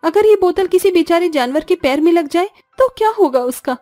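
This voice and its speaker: A woman's voice: very high voice, lively intonation, normal speed, mellow, no echo, soft background music. A woman voicing a caring, principled young village animal doctor in a cartoon, earnest and firm. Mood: annoyed. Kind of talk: cartoon story voice acting.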